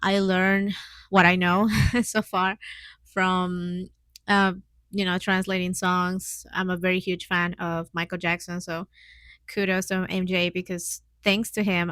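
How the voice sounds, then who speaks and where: A woman's voice: lowish voice, sharp tone, dry recording. A woman in her mid twenties with a Mexican accent, a native Spanish speaker, hosting a casual solo podcast in hesitant, giggly learner's English. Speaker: podcast host.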